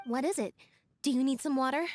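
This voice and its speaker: Reserved, picky young female game diner, acted by a woman, high voice, cautious delivery. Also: fairly quick, darkish tone, soft background music, natural voice. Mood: concerned. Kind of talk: video game voice acting.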